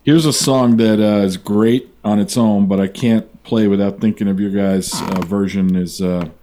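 Low, mellow-voiced man hosting casually. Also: fairly even intonation, moderate pace. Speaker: host of an old-time music radio show. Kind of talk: casual chat, introducing records.

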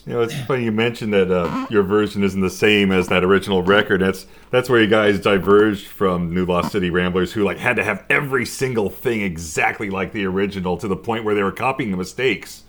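A man talking casually about music in a relaxed group conversation. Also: fairly low pitch, a little fast, few pauses.

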